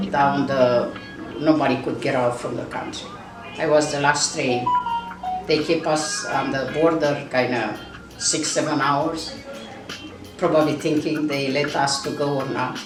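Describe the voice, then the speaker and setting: A woman with a Romanian accent speaking English as a second language, with halting, restarting phrasing in a casual interview. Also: sits low, echoey room, far from the mic, over loud music, rough recording. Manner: non-native grammar, frequent restarts. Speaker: nursing school owner.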